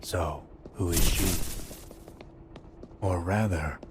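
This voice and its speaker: Loud noise surrounds a brooding young man in a video game drama. The voice is low, drawn out and suspicious, haunted in tone. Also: extremely slow. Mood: grave, suspicious. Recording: very loud noise behind voice, clicks.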